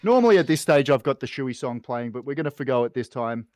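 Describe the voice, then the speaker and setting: A man's voice: mid-range voice, lively intonation, fast, a little mellow, no echo. Aussie guy doing casual esports stream chat, matey and jokey, pretty dry mic. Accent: Australian. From Australia. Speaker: guest co-commentator and streamer. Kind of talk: casual post-match esports interview chat.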